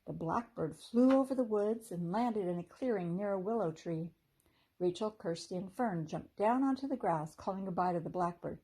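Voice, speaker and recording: A woman teacher reading a children's chapter book aloud in a gentle storytelling manner. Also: mid-range voice, expressive, normal speed, slightly rough, low-quality recording.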